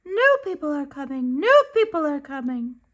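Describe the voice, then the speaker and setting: Lady doing a squawky seagull from a kids book, way high, urgent and alarmed. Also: big pitch swings, moderate pace.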